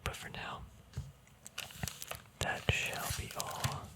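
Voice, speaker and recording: A man speaks entirely in a hushed whisper, as in an ASMR video. He rambles casually through a show-and-tell, musing and hesitating, often letting a thought trail off before picking up the next. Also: very slow. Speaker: ASMR video creator showing a trading card deck.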